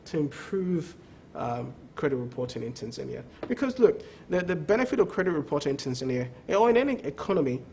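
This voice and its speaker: A man's voice: fairly high pitch, very animated, brisk, gravelly, hardly any pauses, darkish tone, slight background noise. A man speaking as a credit bureau executive in a TV business interview, emphatic, repeating words and often asking "okay?". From not from the country of the show.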